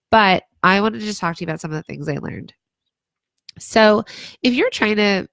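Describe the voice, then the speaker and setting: A hoarse woman with a low, gravelly voice talks through business tips live from a phone or webcam. She is chatty and loose, full of "like" and "um" and restarts. The sound is close and dry, with no room echo. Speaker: brand consultant. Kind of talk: casual livestream advice talk.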